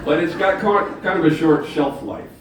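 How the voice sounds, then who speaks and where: A man in his sixties with a deep voice, a singer chatting between songs, joking at his own expense and chuckling as he talks. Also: moderate pace, distant mic. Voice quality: deep speaking voice. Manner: jokey, self-mocking, chuckling as he talks. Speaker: singer performing a live show. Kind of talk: stage banter between songs. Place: music club stage, indoors. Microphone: stage mic heard from the audience. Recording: echoey club taped from the crowd. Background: loud cheering crowd and band.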